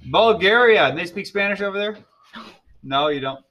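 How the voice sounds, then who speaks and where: Guy teacher running a class podcast, bright voice, teasing and chatty, lots of "like". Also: high voice, expressive, moderate pace. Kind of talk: casual chat.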